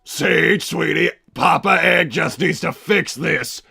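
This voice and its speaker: Casting: bombastic cartoon mad-scientist villain, doting but hot-tempered, high-pitched male voice with heavy rasp. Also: takes it slowly, sharp tone, dry recording, studio quality, soft background music, exaggerated voice. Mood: indignant, firm. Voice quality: gravelly, raspy. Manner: blustering, bombastic. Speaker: livestream host. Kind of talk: in-character livestream chat.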